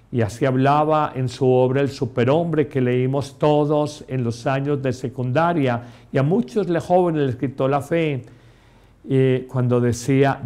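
A middle-aged man, a priest, giving a homily in a slow, emphatic way, speaking straight to the listener in a small chapel. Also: mid-range voice, darkish tone. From Colombia. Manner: slow, emphatic preaching, addressing the listener directly. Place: small chapel set, indoors.

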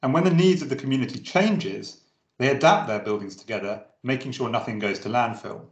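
A man narrates a prepared talk in a measured, steady way. His voice sits at a middle pitch. The room around him adds a clear echo. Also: highs cut off. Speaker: presenter. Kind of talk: scripted narration over drawings. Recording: roomy echo.

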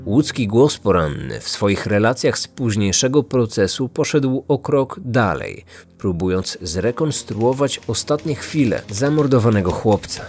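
A man's voice: on the low side, animated, measured pace, darkish tone, faint music behind. A man narrating a scripted true-crime podcast as its host, in a grave storytelling manner.

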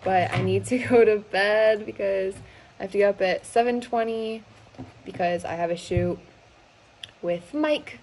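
A woman's voice: mid-range voice, unhurried, a little mellow, poor audio. A young woman with a mid-range voice chats informally to her camera about her day. She is recorded handheld at arm's length, with a little everyday noise behind her. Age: 20s. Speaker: vlogger and model. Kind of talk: casual chatty weekend vlog.

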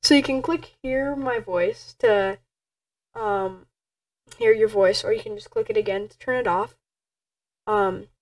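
High voiced woman doing a casual how-to, kinda halting and unsure. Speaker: tutorial presenter. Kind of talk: casual screen-recorded software tutorial.

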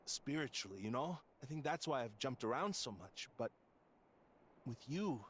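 An actor playing a sweet, earnest young surfer dude in a video game, talking in breezy slang. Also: male voice, medium pitch, animated, normal speed, a little hiss or hum, natural voice. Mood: tender, earnest. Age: young adult.

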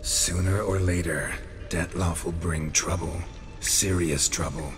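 صياد وحوش متعبًا بصوت منخفض خشن. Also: male voice, steady tone, slow pace, soft background music. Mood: grim, persuasive. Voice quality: low, gruff. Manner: restrained, laconic. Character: weary, stoic male monster hunter, dry and blunt. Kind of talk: dramatic video game acting.

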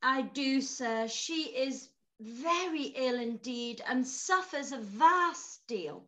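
A woman's voice: sits high, animated, takes it slowly, fairly bright tone, exaggerated voice. A woman playing a fussy, theatrical middle-aged mother in a period drama read over video call. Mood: dramatic, fretful. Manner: emphatic, theatrical. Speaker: actor in live read.

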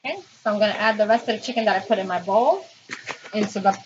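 A woman's voice: mid-range voice, expressive, rapid-fire. Woman chatting over noisy, dull kitchen recording. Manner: informal, chatty, narrating actions while busy with hands. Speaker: home cooking vlogger. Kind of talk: casual cooking vlog chat, talking viewers through steps. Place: home kitchen, indoors. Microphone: built-in camera or phone mic within arm's reach. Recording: dull lo-fi audio, loud noise, clicking.

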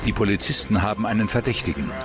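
A man reading scripted true-crime documentary narration as the narrator, in a measured, suspenseful way. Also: fairly low pitch, animated, over loud music.

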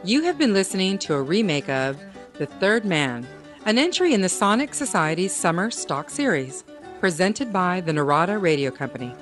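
Lively, slightly low-voiced woman announcer. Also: moderate pace. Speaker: announcer reading cast credits. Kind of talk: scripted narration. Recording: faint plucked-string music behind.

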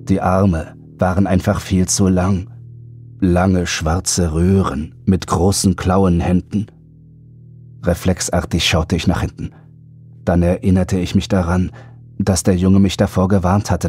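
Male horror audiobook narrator, very low voice, dramatic first-person storytelling over faint music. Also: darkish tone.

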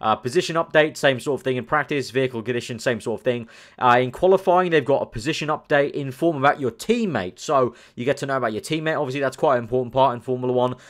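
A man's voice: medium pitch, fast talker. A young man from the UK, chatting casually as a gaming channel host, rambling and thinking aloud with lots of fillers. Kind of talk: casual commentary over screen.

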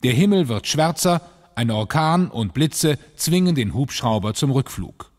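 A man's voice: mid-range voice, animated, unhurried, in a large hall. An actor as the grave male narrator of a scripted sci-fi adventure audio drama. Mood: grave, suspenseful.

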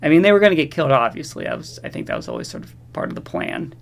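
A man with a voice slightly on the high side talks through a movie review very casually. He rambles in loose, slangy phrases and keeps a wry, sarcastic edge, as a host chatting to viewers. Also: huge pitch swings, racing, creaky.

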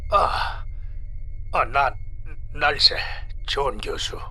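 A man playing an older professor, a grave paternal mentor with halting, old-fashioned speech, in a dramatized horror story. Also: medium pitch, big pitch swings, pushed and bright, exaggerated voice. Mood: shaken, urgent.

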